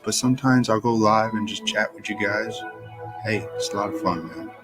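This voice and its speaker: Groggy deep-voiced man, laid-back. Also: fairly even intonation, music in the background. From Florida. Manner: laid-back, rambling, slow-talking. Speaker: fantasy football streamer. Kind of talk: casual live-stream chat.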